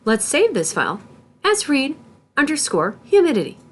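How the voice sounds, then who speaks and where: A woman narrating a scripted tutorial as an instructor, in a deliberate, instructional manner. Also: high voice, wildly swooping pitch, fairly bright tone. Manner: deliberate, instructional, spells out terms letter by letter. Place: voice-over for a screen recording.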